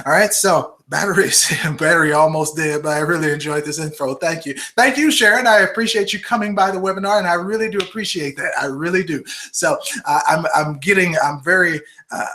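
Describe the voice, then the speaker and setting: A man's voice: a little high, sharp tone. A man in his forties hosting a live webinar, answering viewer questions in a casual, chatty way and constantly checking in with "okay?" and "all right?". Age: 40s. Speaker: host of a live health webinar. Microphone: computer microphone.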